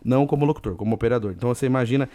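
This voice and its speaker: A man with a Brazilian accent hosting a casual solo podcast, hoarse and chatty, giving listeners shout-outs. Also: medium pitch, racing. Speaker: podcast host.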